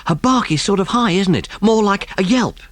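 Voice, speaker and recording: A man's voice: sits high, expressive, normal speed, no echo, pitched above the performer's own voice. A man voicing an eager, admiring, earnest British boy with a hesitant manner in a dramatised audiobook. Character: awed, admiring working-class young boy, simple and eager.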